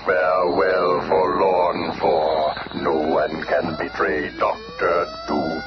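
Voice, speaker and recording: An actor playing an imperious, scheming arch-villain in a radio drama, declaiming pompously in a gravelly, theatrical voice. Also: male voice, fairly even intonation, drawn-out words. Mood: triumphant menace. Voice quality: gravelly, very deep. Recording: muffled, very noisy old radio recording.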